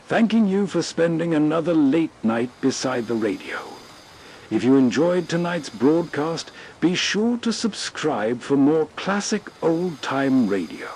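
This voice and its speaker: A man narrating as host in a scripted, unhurried late-night radio style. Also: fairly high pitch, a little mellow. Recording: faint background noise.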